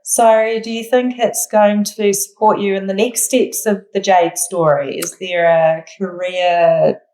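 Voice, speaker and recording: A woman's voice: medium pitch, fairly even intonation, measured pace, warm tone, roomy sound, poor audio. A woman with a New Zealand accent hosting a podcast, asking questions in a supportive, conversational interview style. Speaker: podcast host.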